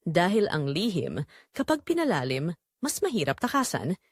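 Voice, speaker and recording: A woman's voice: on the low side, very animated, normal speed, slightly rough, no echo, clean studio sound. Woman narrator, swooping dramatic Filipino delivery. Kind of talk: dramatic scripted story narration.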